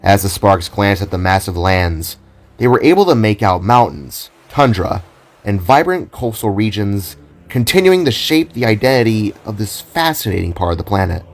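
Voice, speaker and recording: A man reading scripted fantasy narration for an audio drama in a measured, descriptive storybook way. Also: lowish voice, sing-song. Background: soft background music.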